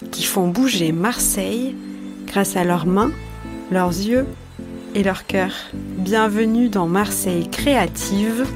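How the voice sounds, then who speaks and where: A woman hosting a podcast, reading its scripted introduction in a measured, announcing way. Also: mid-range voice. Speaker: podcast host. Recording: intro with loud music behind.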